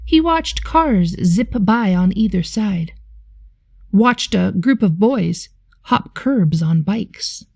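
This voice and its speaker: Slow, expressive woman reading a storybook. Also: medium pitch, dry recording, studio quality. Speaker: teacher reading stories to children. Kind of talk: expressive children's storybook read-aloud.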